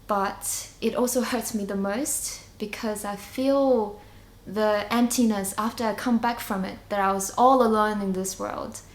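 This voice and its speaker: A young adult woman who grew up in China and Singapore, giving a personal testimony in a hesitant, reflective way with frequent pauses. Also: mid-range voice. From China, moved to Singapore at nine. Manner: hesitant, reflective, pausing often mid-sentence. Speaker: church member giving a testimony. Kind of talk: personal testimony, unscripted monologue. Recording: echoey room, faint background noise.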